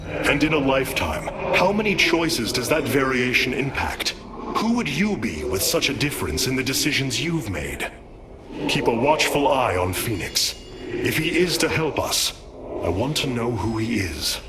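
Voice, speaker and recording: An actor playing a noble, troubled young alien leader in a video game cinematic, speaking in a formal, grave and measured manner. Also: male voice, medium pitch, sing-song, noisy background, close to an everyday voice. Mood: probing. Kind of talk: dramatic game cinematic acting. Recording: loud game music behind the voice. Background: loud cinematic music.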